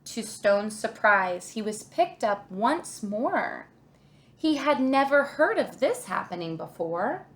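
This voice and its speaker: Slow, higher-pitched woman reading a picture book. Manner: expressive, deliberate storytelling for young children. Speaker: kindergarten teacher. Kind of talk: children's picture book read-aloud.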